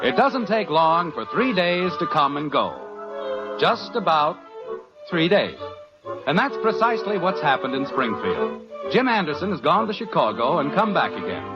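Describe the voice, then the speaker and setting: Male vintage-radio narrator, fairly high animated voice, dull recording under prominent music. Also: a little slow. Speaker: announcer. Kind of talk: old-time radio scripted narration.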